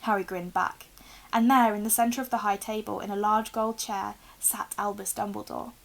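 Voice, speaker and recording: A young woman reads a storybook aloud in an expressive narrating style. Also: mid-range voice, normal speed, gravelly. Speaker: reader, teacher. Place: small room indoors with bookshelves.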